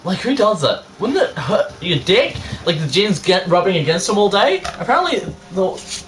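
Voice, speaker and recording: A man with a fairly high, animated voice, reading fan fiction aloud as a casual co-host. He is halting, exasperated and sweary, with faint noise in the room behind him. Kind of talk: casual banter and amateur read-aloud. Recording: noisy room background.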